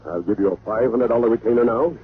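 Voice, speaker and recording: Brief: urgent, controlling insurance man, male actor, rapid clipped formal speech, vintage radio drama, tinny sound. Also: medium pitch, close to an everyday voice. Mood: insistent. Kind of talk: 1940s radio drama acting. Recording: tinny, hissy old-time radio recording.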